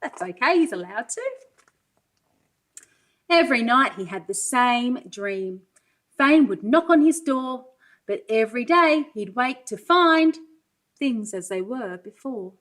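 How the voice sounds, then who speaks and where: A woman reading a children's storybook aloud as a pre-school teacher, expressive and sing-song, pausing to ask her young listeners questions. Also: on the high side. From Wales.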